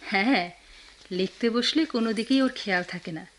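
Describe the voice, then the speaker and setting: An actress with a Bangladeshi accent playing a tender, motherly married woman in a radio drama, soft-hearted and expressive. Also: mid-range voice, normal speed, close to an everyday voice. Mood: fond, approving. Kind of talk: Bengali radio drama acting.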